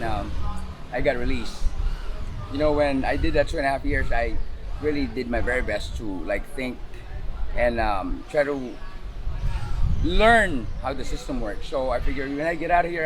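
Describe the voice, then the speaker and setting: A man's voice: mid-range voice, animated, normal speed. A middle-aged man from the Philippines, talking loosely with lots of fillers as he is interviewed outdoors in a city. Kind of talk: casual street interview. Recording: outdoor street recording with traffic. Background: traffic noise.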